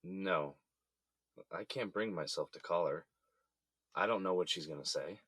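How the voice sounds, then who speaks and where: Real deep american guy, middle aged, weary resigned husband in jail, subdued, dry close audio drama sound. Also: measured pace, fairly bright tone, slightly rough, no echo, heavily acted. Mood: resigned, heavy.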